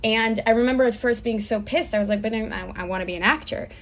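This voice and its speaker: A woman's voice: a little high, quick pace, a bit bright. Woman chatting earnestly over background noise. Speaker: guest actor and filmmaker. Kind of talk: casual conversational interview answers.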